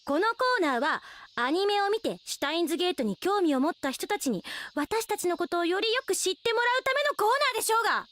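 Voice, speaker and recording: An eighteen-year-old genius girl in an anime radio drama. Her voice is extremely high and very expressive. She is proud and haughty, with a scolding edge and a ready retort. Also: fast, hardly any pauses, heavily acted. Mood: exasperated.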